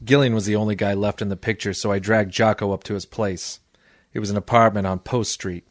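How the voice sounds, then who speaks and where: Low male voice, actor as cynical private detective, deadpan wisecracks, weary, 1940s radio noir drama. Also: brisk, no room sound, studio quality, soft background music, lightly acted. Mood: terse, determined. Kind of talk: 1940s noir radio detective acting with first-person narration.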